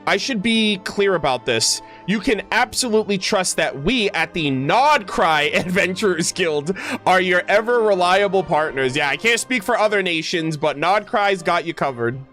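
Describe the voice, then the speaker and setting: A man's voice: high-pitched, lively intonation, normal speed, a bit bright, faint music behind. A man voicing a courteous, eager guild representative from a video game in a polite, earnest manner. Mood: eager, assuring.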